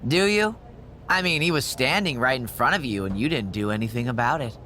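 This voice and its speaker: A man's voice: medium pitch, animated, brisk, sharp tone, a little hiss or hum. A man playing a smug, snide corporate assistant in a wrestling game cutscene, needling with mock politeness. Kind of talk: video game cutscene acting.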